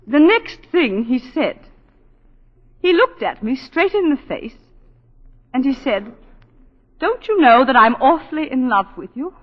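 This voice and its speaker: An actress playing a self-possessed, indignant planter's wife in a 1940s radio drama, telling her story in a halting, theatrical, emotive way. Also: high voice, sing-song, exaggerated voice. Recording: dull 1940s radio broadcast, long reverb.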